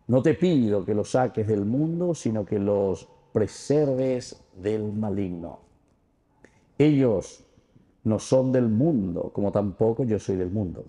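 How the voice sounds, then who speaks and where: An older man with a Paraguayan accent speaks as a priest at Mass. His voice is low and very dark and mellow, and his delivery is emphatic and devotional. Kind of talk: gospel reading and homily at Mass. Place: indoor chapel. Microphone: small mic clipped to vestment.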